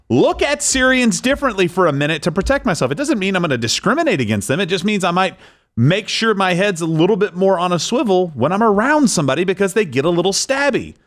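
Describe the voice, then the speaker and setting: Male co-host, quick talker, lively higher-set voice, wry political commentary. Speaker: talk show co-host.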